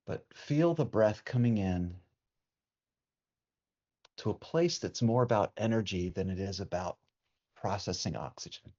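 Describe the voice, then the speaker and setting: A man leading a guided meditation as a teacher, speaking in a soothing, unhurried way with long pauses. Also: lowish voice, expressive, darkish tone. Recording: dull, muffled lo-fi sound.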